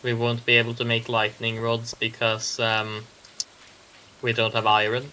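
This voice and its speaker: A man's voice: medium pitch, monotone, a little slow, bright, forceful tone, a little hiss or hum, low-quality recording. A young man with a Norwegian accent, a native Norwegian speaker, chatting casually during a co-op video game. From Norway. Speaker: video game player in a co-op stream. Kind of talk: casual gaming chat. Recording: faint game music behind. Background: game music.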